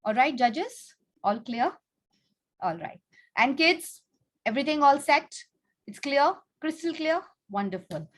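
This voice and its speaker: High-voiced woman hosting a children's contest. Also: animated, unhurried, pauses often. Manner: instructive, prompting with questions. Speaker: contest host and teacher. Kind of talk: explaining rules and addressing children. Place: online video call.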